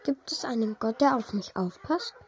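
A woman playing an earnest, doubting little boy in an audio drama, speaking deliberately. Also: fairly high pitch, lively intonation, a little hiss or hum, lightly acted. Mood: wondering, doubtful.

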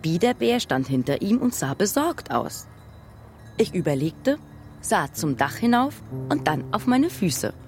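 An actress playing a wry, observant woman who recounts childhood memories in a husky voice, as radio drama narration. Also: fairly low pitch, big pitch swings, a little mellow, soft background music. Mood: measured, observant. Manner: measured, dry, wry storytelling. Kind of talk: scripted first-person radio drama narration.

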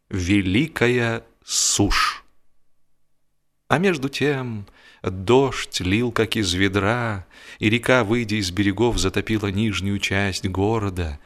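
A man reading a humorous literary story aloud in an expressive, wry, theatrical storytelling manner. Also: lowish voice. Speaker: audiobook narrator and actor.

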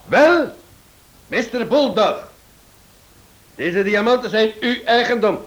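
An actor playing a shrewd detective in a radio serial, explaining step by step in a theatrical, rhetorical way. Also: male voice, high voice, expressive, takes it slowly, muffled recording. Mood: smug, explaining. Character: shrewd male detective theatrically unmasking a smuggling ring.

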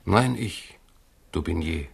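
A man's voice: deep voice, expressive, slow pace, gravelly, husky, heavily acted. An actor playing a young king's loyal, scheming companion, insistent and rhetorical, in a radio play. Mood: urgent. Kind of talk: 1970s radio play acting.

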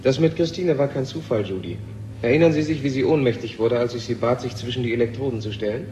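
An actor playing an embittered, brooding scientist in a German radio play, intense and sardonic. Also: male voice, mid-range voice, fast talker, mellow, close to an everyday voice. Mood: grim, intense. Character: embittered, brooding male scientist, alarmed by a machine. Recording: hissy old radio recording with clicks.

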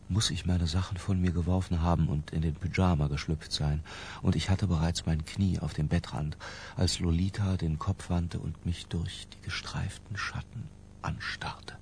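An actor playing an obsessive, tense middle-aged man who narrates his confession in a deliberate, literary radio drama style. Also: low-pitched, gravelly, slight background noise.

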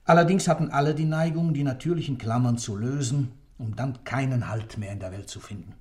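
Man acting impassioned guy defending his dark creed, radio play, mid pitch, very declamatory. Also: brisk, lightly acted. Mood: insistent, reasoning. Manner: rhetorical, fervent, declamatory.

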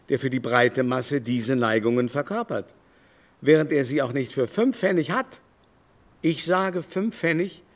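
Higher-voiced, haughty, lecturing baron. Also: male voice. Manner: emphatic, scornful, long-winded lecturing. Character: haughty, worldly aristocratic baron, impatient know-it-all. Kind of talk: German literary radio drama acting. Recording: music behind the voice.

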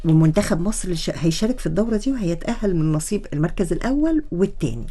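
Quick, mellow-voiced Egyptian radio host. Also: female voice, on the low side, animated. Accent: Egyptian Arabic. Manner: quick interjections and prompting questions. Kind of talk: radio sports talk. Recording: radio broadcast with faint music bed.

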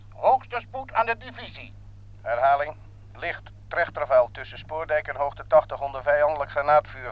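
A man's voice: high voice, no echo. An actor playing a commanding male officer dictating military orders in a radio play. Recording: dull lo-fi old radio play.

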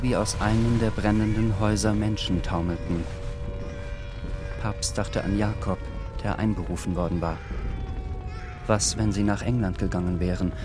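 A male narrator reads literary prose for a radio drama. His voice is low, with prominent music behind it.

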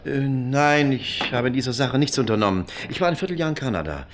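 A man's voice: medium pitch, lively intonation, brisk, background noise, natural voice. An actor playing a guarded, evasive man in a radio play, answering tersely and hesitantly with polite indifference. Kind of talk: radio drama dialogue acting.

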